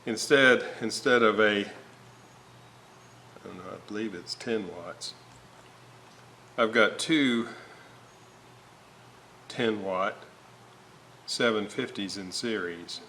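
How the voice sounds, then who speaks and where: A man talks through a hands-on repair at an indoor bench, taking his time. His voice is fairly low yet bright, and he halts as he thinks aloud. Some noise sits behind him. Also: gravelly. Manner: halting, thinking aloud while working. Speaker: host of a radio restoration video series. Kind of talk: informal hands-on repair walkthrough. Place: indoor workbench, hands working on components. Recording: noticeable background noise.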